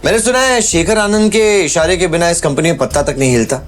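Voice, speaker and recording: An actor playing a determined, commanding young runaway prince in a Hindi audio drama. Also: male voice, a little high, very animated, quick pace, soft background music. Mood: cool, commanding.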